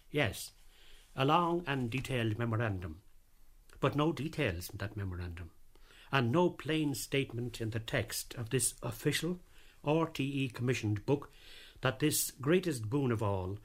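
A middle-aged or older man giving a scripted personal radio talk, emphatic and precise, repeating phrases deliberately for effect. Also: medium pitch. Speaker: radio drama founder giving his recollections.

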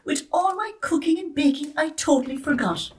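A woman's voice: high voice, moderate pace, darkish tone, recorded from a distance, natural voice. A woman playing a kindly, firm old grandmother with a homely, emphatic manner in a children's story. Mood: flustered, urgent. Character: kindly, bustling, firm old Irish granny.

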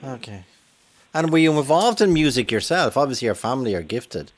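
A man hosting a radio chat, encouraging and quick to affirm his caller. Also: mid-range voice, big pitch swings. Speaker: radio host. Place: radio studio.

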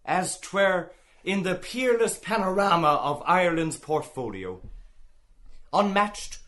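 An actor playing a merry man who mockingly declaims florid prose in a theatrical, drawn-out way, in a literary radio drama. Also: high voice, expressive, a bit bright, exaggerated voice. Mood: mock-grandiose declaiming.

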